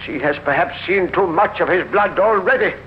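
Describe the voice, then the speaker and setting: Man doing stern bossy judge, high voice, dry and formal, old radio play with muffled noisy sound. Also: moderate pace, natural voice. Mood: grimly sardonic. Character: stern, commanding, sardonic justice making arrests. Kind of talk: 1950s radio crime drama acting.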